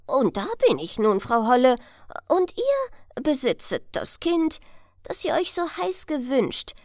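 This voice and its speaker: Stork played by a woman, solemn messenger, declamatory, high pitch, lively melody, fairy-tale audiobook. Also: slow, dry recording, studio quality, exaggerated voice. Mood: solemn, excited. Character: stork, solemn messenger bearing a holy child.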